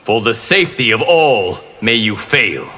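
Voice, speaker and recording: An actor playing a stern, solemn guardian of the realms in a video game. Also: male voice, lowish voice, big pitch swings, unhurried. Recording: long reverb under loud music.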